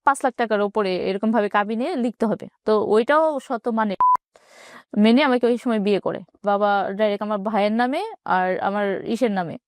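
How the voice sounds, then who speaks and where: A young Bangladeshi woman recounts her own life in an interview. Her voice sits a little high and moves quickly, matter-of-fact in delivery. The sound is dry, with no room around it. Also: darkish tone, dry recording. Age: 20s. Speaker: interview guest telling own life story. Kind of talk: personal storytelling in an interview.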